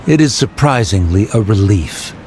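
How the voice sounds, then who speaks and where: A man's voice: on the low side, lively intonation, moderate pace, noisy background, natural voice. An actor voicing a haughty, cold man in a game, speaking in a formal, condescending manner. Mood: disdainful. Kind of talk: scripted game voice acting.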